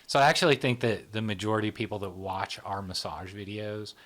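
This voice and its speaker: A man in casual interview conversation, talking in a relaxed, rambling way with many fillers and restarts. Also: lowish voice, fairly quick. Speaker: channel founder, interviewee.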